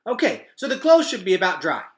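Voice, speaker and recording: Man acting out an anxious, blurting boy, pitched up. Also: huge pitch swings, a little fast, roomy sound. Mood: urgent. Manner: blurting, exasperated, muttering asides. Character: anxious, polite, stubborn boy hero of a fantasy storybook.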